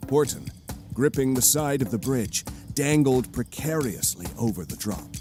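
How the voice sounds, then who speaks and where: A man's voice: medium pitch, animated, normal speed. A man narrating an audio drama in a theatrical, scripted storytelling style. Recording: cinematic music bed behind.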